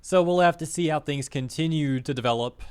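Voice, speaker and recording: A man giving a casual, scornful commentary rant, filler-heavy and quick in bursts, his voice slightly hoarse as if he is losing it. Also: on the high side, darkish tone. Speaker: vtuber commentator.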